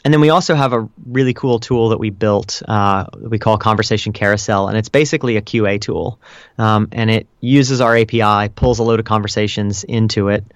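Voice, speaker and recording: Man, lowish voice, interview guest, measured explanatory delivery, conversational, close dry sound. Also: steady tone, no room sound. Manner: explanatory, measured, hedging with "kind of" and "you know". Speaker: interview guest, customer support director.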